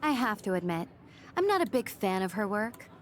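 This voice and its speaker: A woman acts out a haughty rich art student in a story video game. She is smug and precise, with a catty edge. Her pitch is average for a woman, over a noisy background. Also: very animated, normal speed, natural voice.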